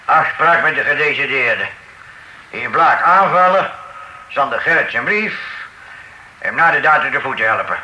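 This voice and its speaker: A pedantic legal officer rattles off clipped fragments of legal jargon. His voice is bright and sharp, and the old radio play recording sounds roomy. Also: mid-range voice, lively intonation, normal speed, long pauses, a little hiss or hum. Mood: curt. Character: pedantic legal officer citing law books. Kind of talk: period radio drama acting.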